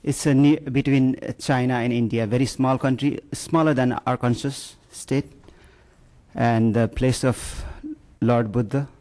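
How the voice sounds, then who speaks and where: A man from Nepal, giving personal testimony at a county meeting, halting with many hesitations. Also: mid-range voice, fairly even intonation, mellow. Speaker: public commenter at county meeting. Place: county board meeting room.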